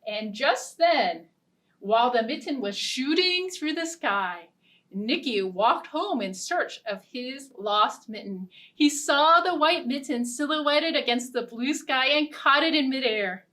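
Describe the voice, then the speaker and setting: Slow-paced woman hosting a children's storytime. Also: sits high. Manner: playful, expressive, simple talk addressed to small children. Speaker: children's librarian, storytime host. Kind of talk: children's storytime with read-aloud story and songs.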